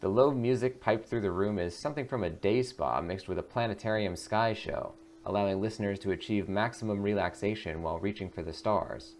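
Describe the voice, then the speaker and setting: A man's voice: lowish voice, a little fast, hardly any pauses, a little mellow, slight background noise. A man hosting a gaming video, reading out narration and adding casual commentary.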